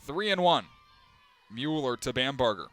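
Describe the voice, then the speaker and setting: A young man calling live games as a play-by-play announcer, steady between plays and climbing sharply in pitch and volume on big moments. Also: measured pace. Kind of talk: live sports play-by-play commentary.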